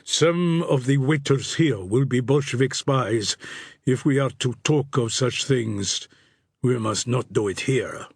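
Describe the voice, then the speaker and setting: A man voicing a suave, amorous count, speaking slowly and formally. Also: mid-range voice, husky, dry recording, studio quality. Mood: urgent. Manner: slow, formal, earnest.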